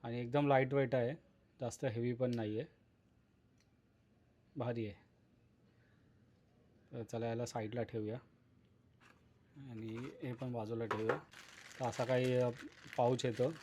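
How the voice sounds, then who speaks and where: A man's voice: medium pitch, flat delivery, measured pace, pauses often, darkish tone, a little hiss or hum. A man giving casual, explanatory unboxing commentary as a vlogger, addressing viewers as friends. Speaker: vlogger presenting a product unboxing.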